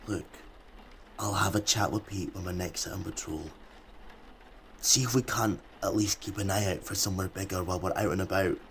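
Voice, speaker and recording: Brief: male actor as a protective survivor, low calm voice, faint ambient hiss. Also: brisk. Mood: reasoning. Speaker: survivor in an underground group. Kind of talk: audio drama acting.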